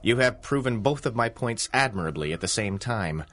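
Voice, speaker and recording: An actor playing an arrogant, scornful criminal mastermind in a 1930s-style radio serial, with pompous, wordy, theatrical delivery. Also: male voice, lowish voice, lively intonation, moderate pace, fairly bright tone, slight background noise. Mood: disdainful.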